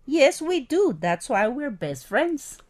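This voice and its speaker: A woman's voice: high voice, sing-song, takes it slowly, darkish tone, dry recording, full character voice. Teen schoolgirl, acted by a woman, chirpy textbook dialogue. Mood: cheerful. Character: cheerful teenage schoolgirl, sixteen.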